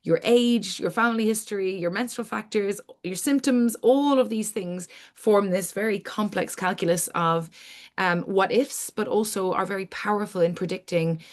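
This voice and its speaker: A woman's voice: medium pitch, normal speed. A middle-aged woman hosting an informal webinar, explaining things in long, flowing sentences with lists of points. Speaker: webinar host, academic scientist.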